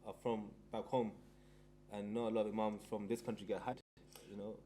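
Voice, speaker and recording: A man whose native language is Urdu joins a podcast chat and speaks quickly and emphatically. There is a faint hum in the background. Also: fairly low pitch, little pitch movement, warm tone. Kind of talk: casual chat.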